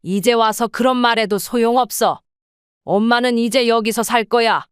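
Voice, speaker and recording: A woman voicing a calm, firm mother in her seventies in an audio drama, speaking plainly and steadily. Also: fairly high pitch, moderate pace, clear, resonant tone, no room sound, studio quality, close to an everyday voice. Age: 70s.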